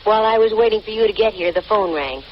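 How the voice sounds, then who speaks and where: A woman's voice: mid-range voice, fast, natural voice. An actress playing a cool, flippant female private detective in an old-time radio drama. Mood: dry, wry. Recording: dull, noisy vintage radio recording.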